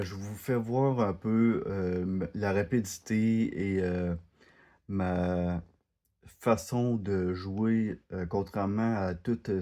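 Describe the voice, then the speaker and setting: A man's voice: lowish voice, warm tone. A man from Quebec with a Québécois French accent, talking casually over his gameplay, hesitant and full of fillers and restarts. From Quebec, Canada. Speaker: gaming video creator.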